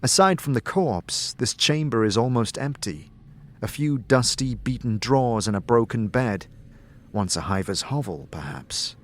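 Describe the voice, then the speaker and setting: A man's voice: mid-range voice, expressive, measured pace. A man reading dramatic, scripted second-person narration of a fantasy story. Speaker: narrator and game master. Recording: music under the voice. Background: background music.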